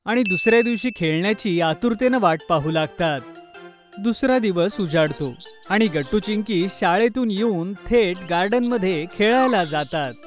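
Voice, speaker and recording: A woman reading scripted narration for a children's cartoon story. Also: mid-range voice.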